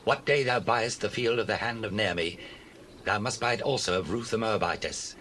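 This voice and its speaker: Guy acting a kindly dignified bible landowner, low voice, measured and formal, bit of background noise. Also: fairly bright tone, close to an everyday voice. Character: Boaz, kindly dignified landowner of ancient Israel. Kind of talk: dramatized Bible reading in archaic English.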